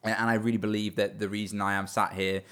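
Fast-talking young man. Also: fairly low pitch, level tone, fairly bright tone. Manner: fast, earnest, repeats words when restarting. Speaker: business owner guest. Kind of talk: casual interview talk.

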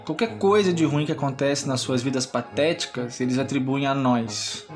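A man's voice: mid-range voice, moderate pace, faint music behind, lightly acted. Cynical, sardonic demon, played by a man. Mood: scornful. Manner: sardonic, speechifying, chuckling. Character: cynical, mocking male demon, disdainful of humans. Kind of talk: audio drama acting.

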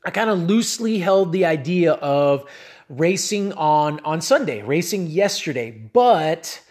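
Chatty man with a fairly high voice. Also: lively intonation, unhurried, a little mellow. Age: 30s. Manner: casual, rambling, with frequent fillers and restarts. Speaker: podcast host and cycling coach. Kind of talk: solo podcast monologue.

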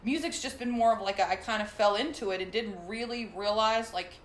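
Low-voiced young woman chatting in a roomy space. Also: a little fast. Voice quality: low, slightly raspy singing voice. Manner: chatty, loose, lots of fillers. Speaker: guest singer and artist. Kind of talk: casual podcast chat. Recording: roomy echo.

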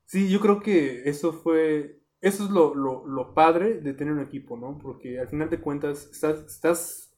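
A man's voice: on the high side, measured pace, few pauses. A young man, an architecture student reflecting casually on teamwork. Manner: relaxed, reflective, lots of fillers. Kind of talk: casual group chat.

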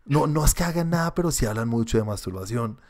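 Animated Colombian man chatting casually. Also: medium pitch, fast. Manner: animated, swearing, laughing, rambling. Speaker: podcast co-host. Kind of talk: casual chat reviewing shows and films.

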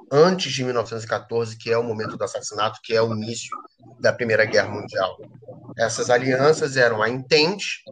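A man with a Brazilian Portuguese accent explaining history in a casual, lively way, often checking in with his listeners. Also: medium pitch. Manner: colloquial, lively, frequent "tá?" check-ins. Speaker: history teacher. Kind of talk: explaining history to a class or show.

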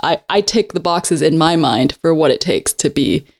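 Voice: mid-range voice, lively intonation. A speaker who grew up in Hong Kong, chatting casually and readily jumping in to push back. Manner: engaged, quick to interject and push back. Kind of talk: casual conversation.

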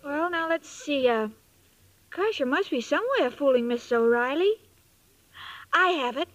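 A woman's voice: high-pitched, expressive, moderate pace, fairly bright tone. A woman acting a sweet, scatterbrained young woman, naive and earnest, in a vintage radio comedy.

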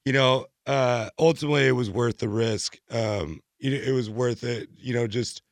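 A young American man with a hoarse voice, chatting casually as a podcast co-host in a rambling, slangy way. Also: medium pitch, moderate pace, no echo. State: hoarse, recovering from illness. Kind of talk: casual chat.

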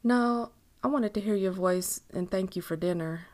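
A woman's voice: medium pitch, moderate pace, darkish tone, close to an everyday voice. A woman voicing a guarded, easily flustered woman falling in love, hesitant and apologetic, in an audiobook romance. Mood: sheepish, apologetic.

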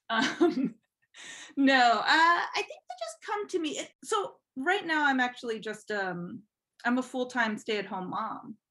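A woman's voice: high-pitched, expressive, slow pace, sharp tone. Casual, self-deprecating woman chatting. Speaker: podcast guest, fan fiction writer. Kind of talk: casual conversational interview.

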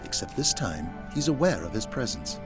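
A man's voice: fairly low pitch, sing-song, normal speed. A man narrating an audio drama in a scripted, dramatic storytelling style. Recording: music behind the voice.

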